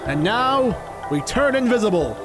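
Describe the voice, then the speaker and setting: Gallant male magician for audio drama, played by a man: lightly high, very lively, theatrical, music underneath. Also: moderate pace. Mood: excited, commanding.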